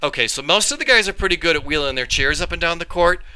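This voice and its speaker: A man reading a children's story aloud in an expressive, animated storytelling style. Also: a little high, fast talker, clean tone. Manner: animated, acts out dialogue. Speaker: reader, storyteller.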